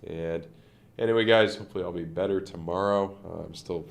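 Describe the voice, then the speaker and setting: A man's voice: deep voice, a little fast, vocal fry. A man streaming live crypto chart commentary, rambling and thinking aloud with many fillers, sounding nasal and congested from a sinus infection.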